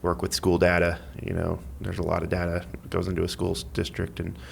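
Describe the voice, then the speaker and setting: A man chatting casually as a podcast guest, in a halting, rambling way as he thinks aloud. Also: deep voice, completely flat delivery, vocal fry, a little hiss or hum. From Missouri, United States. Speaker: podcast guest, amateur athlete and school IT worker. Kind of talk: casual interview chat.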